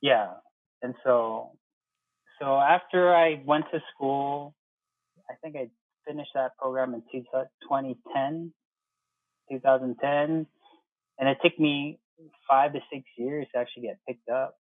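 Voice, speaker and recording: An American man in his thirties talking casually as an interview guest, explaining things in a halting way and often rephrasing. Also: medium pitch, steady tone, dry recording, phone-quality sound. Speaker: interview guest, air traffic controller.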